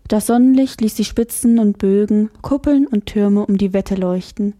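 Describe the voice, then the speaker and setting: A woman reading a children's novel aloud as the narrator, expressive and lively. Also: mid-range voice, moderate pace. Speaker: audiobook narrator. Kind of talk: scripted storybook narration.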